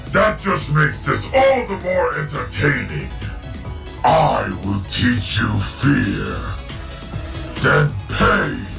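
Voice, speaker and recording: A man voicing a menacing, world-weary villain who relishes destruction, slow and ominous, in a comic dub. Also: echoey room, far from the mic, full character voice. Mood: menacing, amused. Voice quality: gravelly, low. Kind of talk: fan comic dub acting.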